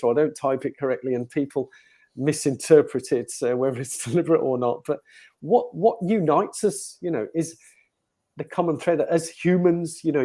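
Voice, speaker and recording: A man's voice: on the high side, dark tone. A man whose first language is Italian hosts a casual video call chat, rambling with many fillers and restarts. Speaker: host.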